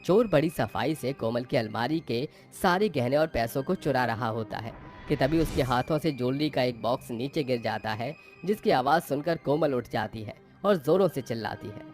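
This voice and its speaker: Male narrator for scripted storytelling. Medium pitch, faint music bed. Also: lively intonation, moderate pace.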